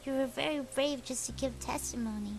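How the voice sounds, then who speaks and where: A woman voicing a gentle, kind young woman who speaks in a soft, sympathetic way. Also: high voice, brisk. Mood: comforting.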